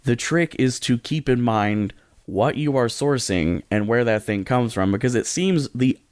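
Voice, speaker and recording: A man's voice: mid-range voice, measured pace, no echo, studio quality. A man co-hosting a podcast, giving casual advice in a rambling, jokey way and often interrupting himself. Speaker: podcast co-host.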